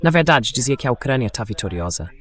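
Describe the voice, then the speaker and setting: A medium-pitched male AI dubbing voice lays out political analysis. It speaks as a commentator in a discussion. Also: big pitch swings, fast talker, fairly bright tone. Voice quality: synthetic AI dubbing voice.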